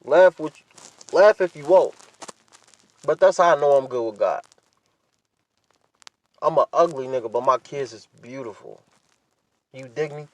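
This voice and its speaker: Male podcast host, pitch a little high. Casual, blunt, profane solo talk, repeating points for emphasis. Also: a little slow, long pauses, a little mellow, rough recording. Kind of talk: casual candid monologue.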